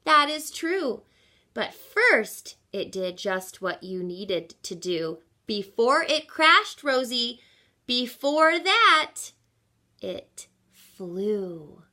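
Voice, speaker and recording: A woman voicing an exuberant, encouraging old great-great-aunt in a storybook, with emphatic, drawn-out lines. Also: on the high side, sing-song, sharp tone, heavily acted. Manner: emphatic, drawn-out, celebratory delivery.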